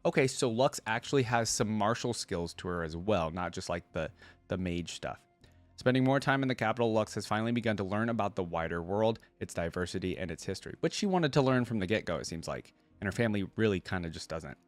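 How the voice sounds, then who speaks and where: Man, lowish voice, dry close recording, reading aloud, casual reaction host. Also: lively intonation, quick pace, no room sound, faint music behind.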